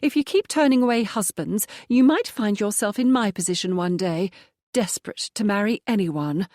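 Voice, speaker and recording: A gossipy young lady with a sharp, prying manner, pitched higher and louder than the narrator's own voice, as a woman reader puts her on. She needles and teases, and the recording is dry. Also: moderate pace, no echo, lightly acted. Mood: teasing. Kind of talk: audiobook dialogue.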